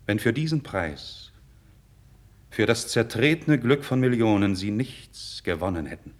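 A man's voice: lowish voice, expressive, measured pace. An actor playing an idealistic, proud young nobleman in a classical radio drama, with earnest, declamatory, formal diction. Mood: fervent. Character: idealistic young nobleman, passionate, proud, candid before his king. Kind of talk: 1950s German radio drama, classical verse acting.

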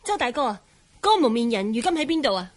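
Lively girlish swordswoman, Cantonese, high voice, polite, exclamatory radio drama delivery. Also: quick pace. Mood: bright, polite.

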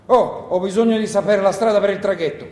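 A man playing a brash, bossy partisan commander in a theatrical radio play, loud, indignant and demanding. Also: high voice, fast talker, natural voice. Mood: blustering. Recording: roomy echo with faint noise.

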